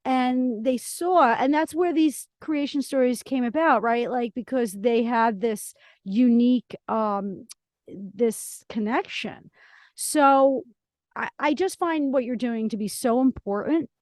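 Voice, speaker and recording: A woman's voice: on the high side, slow, darkish tone, dry recording. A woman hosting a podcast, talking casually in an effusive, emphatic way. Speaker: podcast host. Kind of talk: casual conversational interview.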